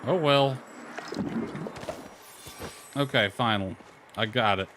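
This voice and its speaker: A man's voice: medium pitch, slow pace, noisy background. A man giving casual, grumbling gameplay commentary, muttering to himself as he plays. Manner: grumbling, mild swearing. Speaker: gamer streaming or recording gameplay. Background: background music.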